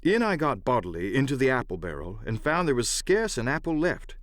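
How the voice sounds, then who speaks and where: A man narrating an adventure novel as dramatic, scripted storytelling in a measured literary style. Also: medium pitch, sing-song, no room sound, clean studio sound. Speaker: audiobook narrator and voice actor.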